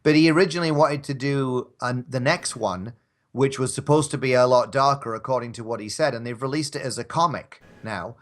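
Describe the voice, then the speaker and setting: A man's voice: medium pitch, brisk. A man co-hosting a casual talk show, bantering about films in a rambling, wry, conversational way. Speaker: co-host of an audio drama talk show.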